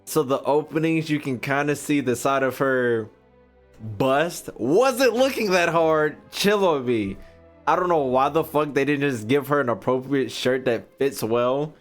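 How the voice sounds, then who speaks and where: A man's voice: medium pitch, unhurried. Male game streamer, young adult, American accent: casual, sweary, jokey commentary in a medium register. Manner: slangy, profane, joking, repeats lines back. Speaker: gaming streamer. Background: faint game music.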